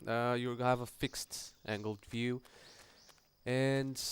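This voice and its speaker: Casting: male gaming host, smooth medium-pitched voice, casual, hesitant, rambling walkthrough commentary. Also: steady tone, takes it slowly.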